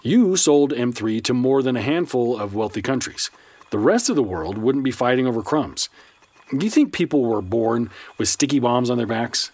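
An actor performs a principled, worn-down man in a science fiction radio play. His mid-range voice is rough and husky. He talks with weary, skeptical unease and a confrontational edge. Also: medium pitch, lively intonation, normal speed, a little mellow, dry recording, natural voice. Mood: accusing. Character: principled, accusing estranged son, uneasy and worn down. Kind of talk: full-cast science fiction audio drama acting.

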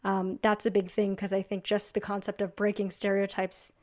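Thoughtful quick-talking woman. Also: medium pitch, completely flat delivery, darkish tone. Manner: thoughtful, quick, filler-heavy musing. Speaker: comedian. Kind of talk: casual panel conversation.

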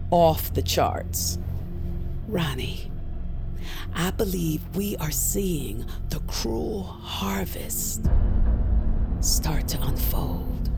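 An actress playing a secretive, intense female spellcaster in an audio drama, speaking in a deliberate, wry and ominous way. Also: low voice, vocal fry, exaggerated voice.

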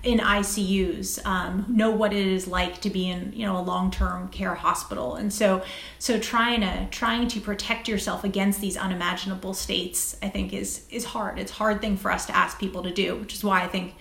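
A woman speaking as a physician guest in an interview, thoughtful and reflective, often halting and repeating phrases as she finds her words. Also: mid-range voice, fairly even intonation, vocal fry, fairly bright tone. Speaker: guest, critical care physician. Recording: echoey, roomy sound.

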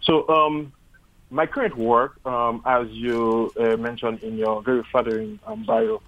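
A man's voice: medium pitch, little pitch movement, a little slow. A man from Haiti, a physician, speaking hesitantly with many fillers in a radio interview over a remote line. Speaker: physician guest. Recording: tinny phone-like line.

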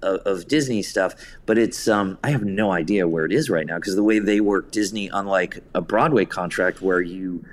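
A man's voice: low-pitched, moderate pace, few pauses. A man talking reflectively as an interview guest, a theater director speaking in an earnest, discursive way. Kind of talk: reflective conversational interview talk.

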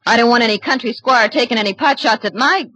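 A woman's voice: fairly high pitch, fast talker, bright, forceful tone, breathy edge, no echo. A woman playing a brash, wisecracking young city woman with slangy delivery in old-time radio drama style. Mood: breezy, joking. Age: young adult.

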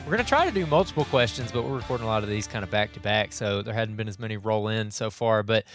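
Quick easygoing podcast host. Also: male voice, on the low side, animated, soft background music. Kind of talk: casual podcast chat.

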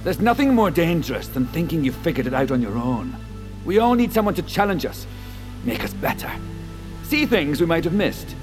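A male actor as a visionary scientist showing off his great creation in a sci-fi audio drama. His voice sits fairly high and moves widely, theatrical and sure of itself, with music underneath. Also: natural voice. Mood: earnest, persuasive. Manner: theatrical, deliberate, self-assured.

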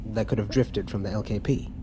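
A man's voice: fairly low pitch, steady tone, a little fast, background noise, natural voice. An actor playing an eager, wide-eyed everyman crew member in an audio drama, informal and inquisitive. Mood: curious.

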